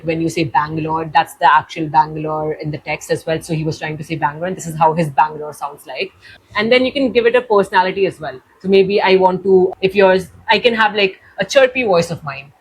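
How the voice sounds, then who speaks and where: A young woman with an Indian accent hosts a casual tutorial. Her voice sits a little low, and she explains step by step in a loose, chatty way that keeps reaching for "like." A faint background noise sits under the recording. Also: rough recording. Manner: chatty, leans on "like" while explaining. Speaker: tutorial host.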